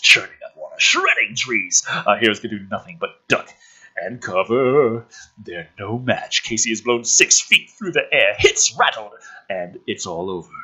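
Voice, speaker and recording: A man's voice: lowish voice, bright, forceful tone, breathy edge, poor audio. A man reading a script aloud dramatically as host, with an emphatic, sardonic delivery and profane asides. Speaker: host reading a film script aloud with his own commentary.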